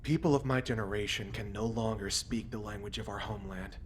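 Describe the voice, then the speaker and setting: A sad, homesick young pilot, put on by a man, his voice pitched well above the narrator's own and soft, heard from a bit off the mic. The mournful lines are quoted inside a game cutscene's narration. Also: fairly even intonation, fairly quick, a little hiss or hum, close to an everyday voice.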